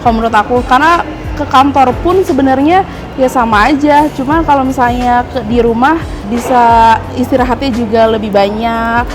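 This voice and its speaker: High-voiced easygoing young woman. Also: normal speed, music in the background. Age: 20s. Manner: easygoing, conversational. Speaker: interviewee. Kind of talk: casual opinion interview.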